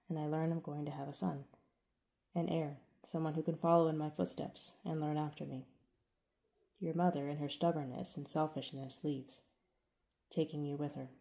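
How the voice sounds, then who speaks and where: A woman reading the part of a stern, controlling older alien man who runs a fighting arena, in a measured, lowered, quietly commanding delivery. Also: steady tone. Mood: cold, reflective. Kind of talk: audiobook character reading.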